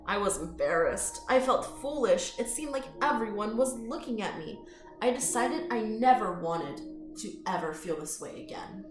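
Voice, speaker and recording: A woman reading a picture book aloud to children in an expressive, inviting way, with friendly chatty asides. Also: a little high, normal speed, music in the background. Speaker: children's storytime reader. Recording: roomy echo.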